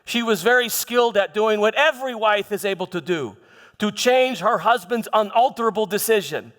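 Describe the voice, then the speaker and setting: A man playing a troubled Roman governor who recounts his past in a halting dramatic monologue, repeating words and pausing for emphasis. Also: high-pitched, lively intonation, a bit bright. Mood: grave, reflective. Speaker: church dramatist performing a monologue. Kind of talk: first-person dramatic monologue in church. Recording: echoey hall with long reverb.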